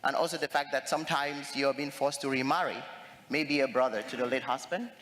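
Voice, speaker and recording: A man's voice: mid-range voice, brisk, a bit bright. A young man from Cameroon speaking earnestly as a conference panelist, with frequent fillers and restarts. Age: young adult. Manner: earnest, hesitant with many fillers. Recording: long reverb of a large hall.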